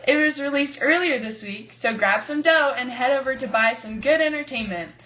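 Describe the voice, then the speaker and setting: A woman's voice: high-pitched, moderate pace, sharp tone, poor audio. A woman playing an earnest news anchor in a scripted amateur 1920s radio broadcast. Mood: upbeat.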